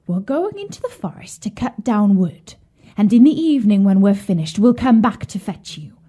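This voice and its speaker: A woman voicing a cruel, scheming mother in a children's storybook, with a sharp, bossy delivery. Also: mid-range voice, big pitch swings, normal speed, breathy edge. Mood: falsely coaxing.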